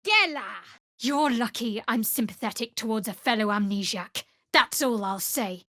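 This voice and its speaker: A woman voicing a guarded, sardonic young cleric of a dark goddess in a video game, with a dry, measured, clipped delivery. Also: a little high, animated, dry recording. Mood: urgent, incanting. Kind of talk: video game voice acting, companion dialogue and battle barks.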